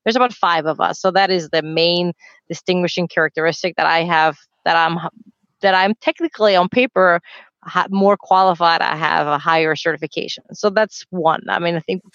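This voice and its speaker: A woman's voice: fairly low pitch, fairly quick, a bit bright, dry recording, muffled recording. A talkative, self-assured woman speaking emphatically as a guest in a conversational panel discussion. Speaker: court and conference interpreter, guest.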